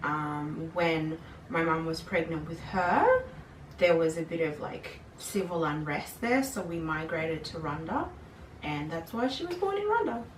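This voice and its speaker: Low-voiced young woman explaining in a roomy space. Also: a little slow. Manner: explains at length, lively. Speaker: guest, older sister. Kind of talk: casual chat. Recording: roomy, distant mic, faint noise.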